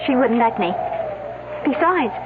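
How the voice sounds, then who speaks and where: An actress playing a sharp-tongued, wounded young woman student in a radio drama, pointed and sarcastic. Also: on the high side, animated, measured pace. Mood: bitter, cutting. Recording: tinny, noisy old radio recording.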